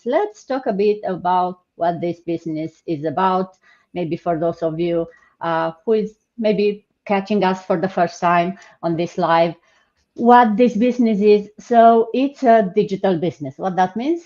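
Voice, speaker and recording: A woman hosts a live online business panel in a relaxed, chatty way. Her voice sits fairly low. The video call audio is muffled and lo-fi. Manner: relaxed, chatty, with filler words. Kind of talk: casual presenting. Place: home, in Ontario.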